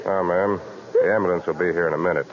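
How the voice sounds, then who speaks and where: A man's voice: low voice, fairly even intonation, quick pace, close to an everyday voice. An actor playing a kindly, plain-spoken motorcycle policeman in a 1940s radio mystery. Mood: helpful, calm. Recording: dull, hissy 1940s radio recording.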